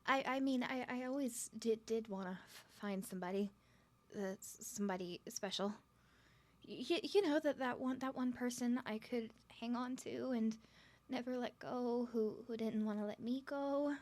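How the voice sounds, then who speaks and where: A woman's voice: a little high, moderate pace, natural voice. A woman playing a nervous, smitten young woman on a first date in an ASMR roleplay, stuttering and rambling with flustered giggles. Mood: shy, earnest.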